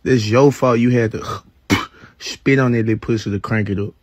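A man's voice: mid-range voice, fairly even intonation, moderate pace, dry recording, studio quality. A man doing a joking, mocking social media rant.

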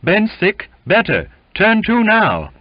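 Man acting an agitated, ailing sailor, voice pitched high. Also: wildly swooping pitch, takes it slowly, no echo, lo-fi sound, heavily acted. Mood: eager, insistent. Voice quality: gasping baritone. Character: ailing, frightened, defiant sailor, petulant and eager.

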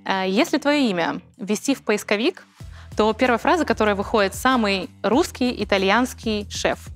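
A woman's voice: medium pitch, moderate pace, faint music behind. Young woman hosting a studio interview. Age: young adult. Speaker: interview host. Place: dark indoor studio set, seated in armchair with tablet.

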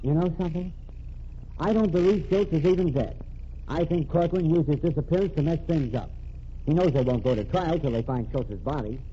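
A man's voice: on the high side, fairly quick, mellow, close to an everyday voice. An actor playing a scheming newspaperman in 1940s radio drama style, glib and patronizing. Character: smooth scheming male newspaperman, falsely friendly. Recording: dull lo-fi old radio recording with faint hiss.

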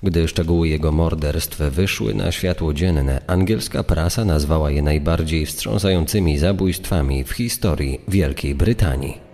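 A man's voice: extremely low pitch, unhurried, mellow. A man reading formal scripted documentary narration as a voice-over. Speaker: voice-over reader of quotations. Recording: long reverb.

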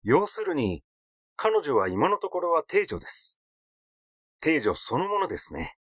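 A flippant young gossip, as a male reader plays him, teasing and sardonic in storybook dialogue. His pitch swings about freely, and the sound is dry. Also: huge pitch swings, a little slow, fairly bright tone, dry recording, exaggerated voice. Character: flippant, gossiping young man, mocking and glib. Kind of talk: acted dialogue in an audiobook.

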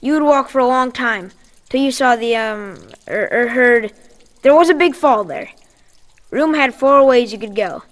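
A woman's voice: fairly high pitch, unhurried, in a large hall, close to an everyday voice. An actress playing an earnest, devout boy in a radio drama, plain-spoken, urgent and determined. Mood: wary, searching. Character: earnest, devout boy living rough underground. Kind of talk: post-apocalyptic radio drama acting.

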